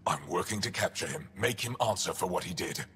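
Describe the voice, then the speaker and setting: An actor playing a stern, guarded alien resistance commander in a video game, gruff and curt. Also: male voice, low-pitched, lively intonation, a little fast, a bit bright, a little hiss or hum. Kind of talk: dramatic video game acting. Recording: long echoing reverb.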